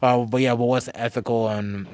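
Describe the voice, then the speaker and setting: A young man hosting a podcast in casual, rambling conversation, full of fillers and swings in loudness. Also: medium pitch, fairly even intonation, normal speed. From Charlotte, North Carolina. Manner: rambling, filler-heavy, swings between loud and quiet. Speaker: podcast host.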